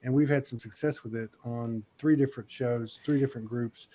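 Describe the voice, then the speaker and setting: A man's voice: mid-range voice, fairly quick. Easygoing male podcast host. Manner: relaxed, rambling with many fillers. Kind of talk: casual interview chat.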